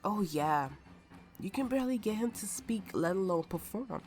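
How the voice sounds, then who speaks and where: A woman's voice: mid-range voice, expressive, normal speed, natural voice. A woman voicing a drunken, playful and imperious warrior woman in an ASMR roleplay. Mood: delighted, tipsy. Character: tipsy, haughty, flirtatious warrior woman with a slave. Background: soft background music.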